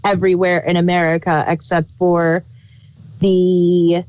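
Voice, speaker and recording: A woman's voice: lowish voice, level tone, measured pace, darkish tone, lo-fi sound. A woman chatting casually as a co-reviewer, repeating her points for emphasis. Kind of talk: casual chat.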